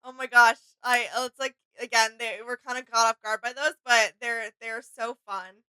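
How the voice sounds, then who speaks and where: A woman with a high, bright voice talks as a reaction co-host. Her delivery is unscripted, informal and easygoing, like casual chat between fans. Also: fairly even intonation, moderate pace, clear, resonant tone.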